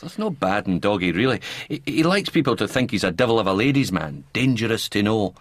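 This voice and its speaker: An actor in a radio drama playing and narrating as a tolerant older Scottish doctor, dry and avuncular. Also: male voice, fairly low pitch, big pitch swings, fairly quick, dry recording. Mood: dry, wry.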